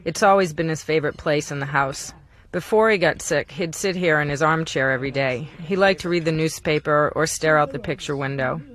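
A woman journalist narrating a personal documentary in a quiet, measured, gentle way. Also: sits low. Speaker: journalist narrating a documentary about her father.

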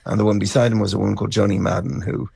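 Gravelly, husky middle-aged Irish man. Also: lowish voice, steady tone, very fast, mellow, no room sound. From County Cavan, Ireland. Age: middle-aged or older. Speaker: interview guest, band musician. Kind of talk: conversational storytelling interview.

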